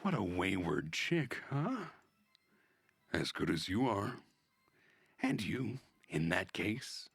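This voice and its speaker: A man voicing a mocking, unhinged young pioneer in a visual novel, smug and taunting with drawn-out words. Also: low voice, very animated, a bit bright, breathy edge, dry recording, exaggerated voice.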